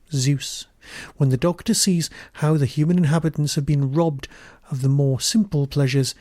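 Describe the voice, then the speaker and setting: A man's voice: a little high, dark tone, no room sound, studio quality. A man hosting a podcast, giving a semi-scripted review straight to the listener.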